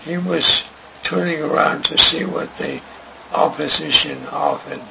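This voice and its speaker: An older man recalling his radio career in an interview, speaking haltingly and restarting often. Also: on the high side, fairly even intonation, creaky. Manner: slow, halting, with many restarts. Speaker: interviewee, radio writer and director. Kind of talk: reminiscing interview. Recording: noisy recording.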